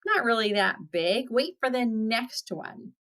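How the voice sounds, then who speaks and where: Middle-sized storybook billy goat, played by a woman: raised pitch, nervous, talking its way out. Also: expressive, slow pace, a bit bright. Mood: nervous, pleading.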